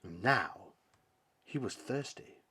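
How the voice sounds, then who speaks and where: Slow, animated man reading a children's story. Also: mid-range voice, husky. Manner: theatrical, emphatic pauses. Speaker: storybook reader. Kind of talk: dramatic children's story narration.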